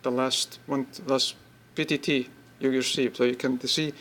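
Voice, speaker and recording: A man giving an informal, unscripted product demonstration, speaking haltingly in short pieced-together bursts. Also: medium pitch, steady tone, fairly bright tone. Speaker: app demonstrator.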